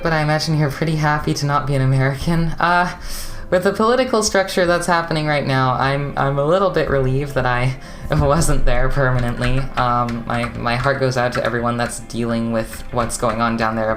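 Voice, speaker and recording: Streamer type: young West Coast Canadian woman, very deep voice, chatty and rambling, soft music under her. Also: fairly even intonation, brisk. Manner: chatty, rambling, laughs often, many fillers. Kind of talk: casual live-stream chat with viewers.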